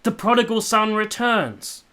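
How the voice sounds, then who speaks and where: A man's voice: high-pitched, animated, a little slow, sharp tone, heavily acted. An actor playing an ardent, stubborn young man in love in a period radio drama, earnest and pleading with formal phrasing. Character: ardent, stubborn young male lover from a village.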